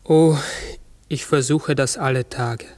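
An actor playing a large talking raven in a 1960s radio drama, brooding, uncertain and often correcting himself. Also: male voice, mid-range voice, steady tone, a little mellow, no echo, natural voice. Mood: searching, melancholy. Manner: halting, brooding, self-correcting. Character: human-sized talking raven, pensive, uncertain, forgetful.

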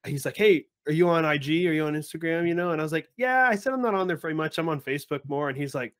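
A young man hosting a podcast over a video call, chatting casually and telling stories with lively acted-out voices. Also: a little high, rapid-fire. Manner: animated storyteller, acts out voices in anecdotes. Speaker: podcast host. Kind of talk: casual interview chat. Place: home or office, video call.

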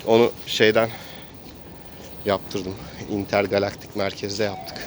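Playful man role-playing amid clear outdoor noise. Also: fairly low pitch, flat delivery, slow. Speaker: game master and player in a role-playing game. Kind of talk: casual improvised role-play narration.

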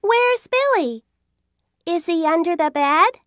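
A woman voicing a cheerful young schoolgirl, narrating in a bright, sing-song way for an animated children's story. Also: very high-pitched, takes it slowly, dry recording, clean studio sound, heavily acted.

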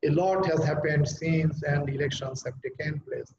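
A man's voice: a little high, fairly even intonation, moderate pace, recorded from a distance. A middle-aged man with a Bangladeshi accent, a Bengali speaker lecturing in English to an online college class, emphatic and repeating phrases to explain them. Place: indoor room. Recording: roomy, dull, noisy webcam audio.

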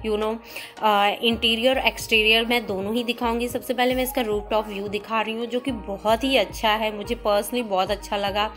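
A woman's voice: mid-range voice, a bit bright. A young woman reviewing a restaurant in a chatty voice-over, mixing English words into her Hindi. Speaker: food vlogger.

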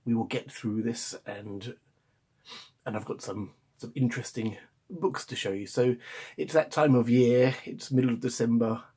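A man in his forties with a croaky voice from a cold, chatting enthusiastically about books to camera at home, with frequent ums and restarts. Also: medium pitch, fairly even intonation, moderate pace, warm tone. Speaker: book reviewer. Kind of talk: casual book chat to camera. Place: home room in front of bookshelves. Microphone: camera or webcam at close range.

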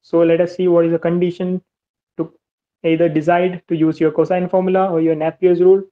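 Navigation teacher, whiteboard lesson, step-by-step, repetitive, monotone, muffled lo-fi voice-over. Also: medium pitch, fairly quick. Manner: methodical, step-by-step explaining with much repetition. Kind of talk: screen-recorded whiteboard lesson.

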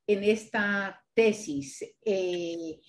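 A woman's voice: medium pitch, very slow. An older woman hosting an interview over a video call, asking encouraging questions. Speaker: host interviewer.

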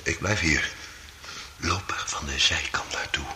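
An actor playing a cool, wary criminal in a Dutch radio drama, dry and matter-of-fact. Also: male voice, rumbling low, unhurried, pushed and bright, whispered, exaggerated voice. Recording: echoey, noisy old radio recording.

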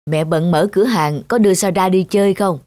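An actor voicing a patient, loving father in a cartoon, gently coaxing as to a child. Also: medium pitch, fairly quick, no echo, close to an everyday voice. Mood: soothing. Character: patient, loving father soothing his young daughter. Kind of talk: cartoon voice acting. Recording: music clearly behind the voice.

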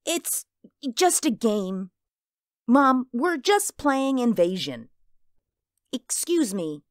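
Lady doing an excitable bratty little girl for a radio play, high and very swoopy. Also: extremely slow, no echo, clean studio sound, heavily acted. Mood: defiant, hurried. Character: excitable, defiant little girl playing invasion. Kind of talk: radio drama acting.

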